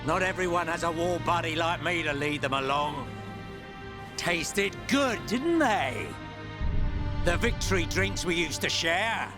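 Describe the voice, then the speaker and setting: An actor playing a cocky, gloating middle-aged traitor and war veteran with a British accent in a video game. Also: male voice, a little high, expressive, measured pace. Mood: mocking. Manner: taunting, cocky. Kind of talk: video game cutscene acting. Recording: loud music over the voice.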